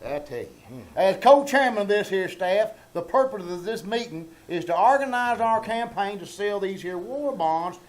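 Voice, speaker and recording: Male actor as folksy, self-important Southern storekeeper; high, animated delivery, easily exasperated, vintage radio comedy. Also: normal speed, poor audio. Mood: officious, exasperated. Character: bossy, exasperated rural storekeeper running a meeting. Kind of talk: old-time radio comedy acting. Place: small-town theater stage. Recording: live theater stage, faint clatter.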